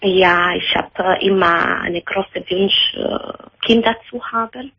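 An Italian woman in her thirties calling a radio show, speaking German hesitantly with an Italian accent and many pauses. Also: on the low side, steady tone, noisy background. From Italy. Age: 30s. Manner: hesitant, halting with many fillers. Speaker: caller. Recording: dull phone-in radio sound.

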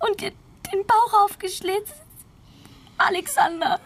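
Distraught teen girl, super high choked-up voice, halting, radio crime play, bit of background noise. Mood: tearful, distraught. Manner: halting, fragile. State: crying, choked up.

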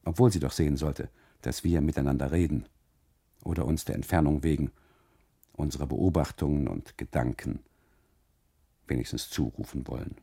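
A man performing dramatic first-person literary narration in a gravelly, husky voice, expressive and deliberate, with broken phrasing. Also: very deep voice, no room sound, clean studio sound. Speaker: narrator of a literary radio monologue.

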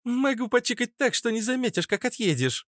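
A man's voice: very high voice, lively intonation, no room sound, studio quality, voice pushed up. A man voicing a brash, cheeky young woman with insolent, slangy delivery in an audiobook story. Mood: cocky. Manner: insolent, slangy, quick to snap. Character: brash, feisty red-haired young woman, cheeky and rude.

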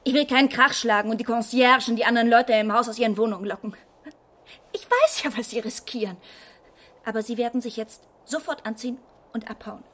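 A woman's voice: a little high, fairly quick, a bit bright. An actress in a German radio play playing a frightened but defiant woman standing up to an intruder. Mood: wary, firm. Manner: tense, firm, imploring.

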